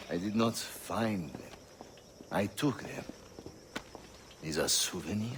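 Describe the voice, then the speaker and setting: An actor playing a swaggering, menacing French arms dealer in a video game, theatrical and taunting, with a French accent. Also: male voice, lowish voice, slow, noisy background. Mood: mocking, boastful.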